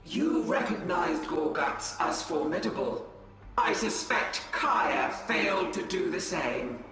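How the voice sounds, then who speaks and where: A man playing a stern, ruthless alien warrior lord in a video game, speaking gravely and imperiously. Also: sits high, very animated, slow pace, sharp tone, full character voice. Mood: cold, measured. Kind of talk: dramatic video game acting. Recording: very echoey, distant, music underneath.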